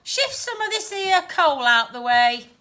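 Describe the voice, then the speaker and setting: A rough-spoken Cockney boy ghost from a children's story, acted out by a woman reader. The voice is high and forceful, swinging widely in pitch. He is blunt, mocking and short on patience. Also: expressive, a little slow, exaggerated voice. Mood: impatient, bossy. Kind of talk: children's storybook read aloud.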